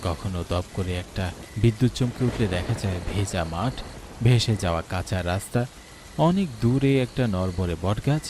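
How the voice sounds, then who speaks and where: A man's voice: on the low side, lively intonation, normal speed, background noise. A man giving dramatic, descriptive scripted narration of a horror story.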